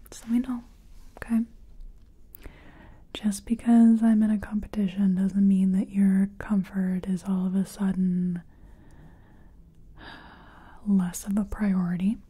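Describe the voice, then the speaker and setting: A woman whispering softly and gently in a breathy voice, reassuring the listener in a close-up ASMR personal attention roleplay as a makeup artist. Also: medium pitch. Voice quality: soft, breathy, mostly whispered. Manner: gentle, reassuring, murmured repetitions.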